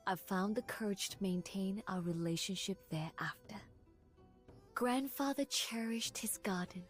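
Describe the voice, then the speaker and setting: A woman plays a refined young chronicler from a video game. Her voice is soft and breathy at a medium pitch, and she takes her time over every formal, delicate phrase. She is gentle and studious, with faint music playing underneath. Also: close to an everyday voice. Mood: wistful, then nostalgic. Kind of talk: scripted game character voice lines, close to ASMR.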